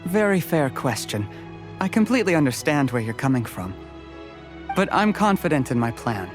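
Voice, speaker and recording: An actor playing a modest, personable candidate in a video game, articulate, polite and thoughtful. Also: male voice, on the high side, big pitch swings, normal speed, faint music behind. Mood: assured, earnest.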